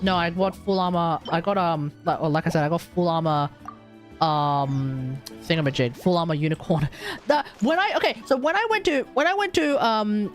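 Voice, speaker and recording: Aussie guy gaming on stream, voice swings all over, rambly, game music behind. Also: high-pitched. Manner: animated, rambling, exclamatory, self-correcting. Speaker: live streamer. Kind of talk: casual livestream chat while gaming.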